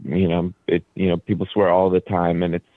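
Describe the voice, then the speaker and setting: A man's voice: deep voice, little pitch movement, fairly quick, no echo. A man whose first language is Croatian, a band leader chatting as a radio guest and explaining things at length with easy fillers. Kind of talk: casual radio interview. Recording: dry, phone-like narrow sound.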